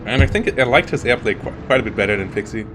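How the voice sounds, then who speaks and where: A man's voice: mid-range voice, expressive, fast talker, over music. A man commentating a live strategy game tournament, talking in loose, self-interrupting bursts. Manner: rambling, self-interrupting, frequent restarts. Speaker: esports caster.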